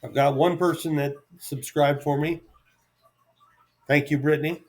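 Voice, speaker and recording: A middle-aged man rambling unscripted to his viewers as a solo vlogger, halting and easygoing, with self-deprecating asides. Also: on the high side, fairly even intonation, darkish tone.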